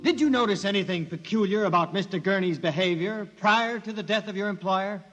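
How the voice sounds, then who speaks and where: Brief: a measured prosecutor, higher-pitched, reverberant old radio broadcast with faint music. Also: male voice, a bit bright. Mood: formal. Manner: measured questioning. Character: formal courtroom prosecutor. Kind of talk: 1950s radio drama acting.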